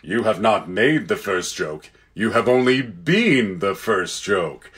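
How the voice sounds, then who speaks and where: A man voicing a great lion king in a storybook, kind and commanding, with slow, measured, solemn pronouncements. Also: lowish voice, lively intonation, fairly bright tone, pitched below the performer's own voice. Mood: gently amused.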